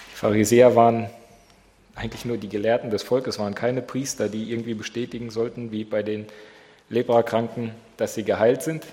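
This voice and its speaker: A man's voice: medium pitch, a little mellow. Man with a slight cold, Bible study leader who quizzes the group, voice in a reverberant hall. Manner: asks the group questions. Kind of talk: prayer and interactive Bible study. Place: church hall.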